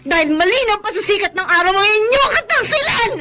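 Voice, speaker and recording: A woman's voice: very high voice, expressive, a little fast, pushed and bright, exaggerated voice. An actress playing an emotional, betrayed young woman in a melodramatic Tagalog radio drama. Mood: indignant, furious. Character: young Filipina woman, heartbroken, betrayed, indignant in love.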